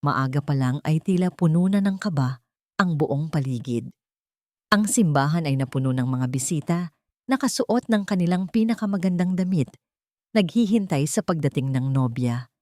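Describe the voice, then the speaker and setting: A woman's voice: deep voice, lively intonation, moderate pace, darkish tone, no room sound, studio quality. A woman with a Filipino accent narrating a scripted romantic drama story.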